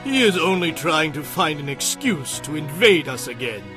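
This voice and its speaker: A man's voice: fairly high pitch, very animated, moderate pace. An actor playing an agitated, suspicious king, exclaiming dramatically in an audio Bible drama. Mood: upset, indignant. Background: prominent music.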